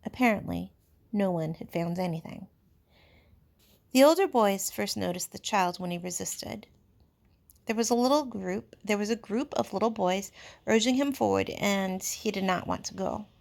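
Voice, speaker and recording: A woman reading a novel aloud in expressive, measured storytelling narration, like a teacher. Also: medium pitch, gravelly. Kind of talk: audiobook-style read-aloud narration of a novel.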